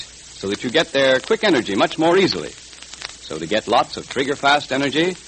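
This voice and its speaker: A man announcing and narrating in polished, emphatic 1940s radio style, as for adverts and adventure serials. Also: mid-range voice. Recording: crackly old radio recording, steady hiss.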